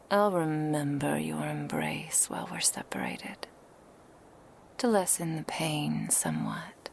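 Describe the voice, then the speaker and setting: A possessive kitsune witch, acted by a woman murmurs in a breathy whisper for an ASMR roleplay. The voice sits fairly low and moves extremely slowly, with formal, tender phrasing over noticeable background ambience. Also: very animated, a little hiss or hum, exaggerated voice. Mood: pained, tender.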